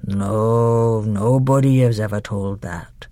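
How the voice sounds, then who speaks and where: A woman voicing a huge, wise, gentle male lion, speaking low, slow and hushed. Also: steady tone, dry recording. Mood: grave gentle.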